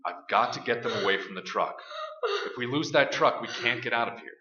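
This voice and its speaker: A man's voice: mid-range voice, brisk, pushed and bright, close to an everyday voice. An actor playing a determined, protective man taking charge in a horror radio play, speaking urgently and practically, with a commanding manner. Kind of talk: staged radio play acting. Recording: roomy, dull, noisy live stage recording.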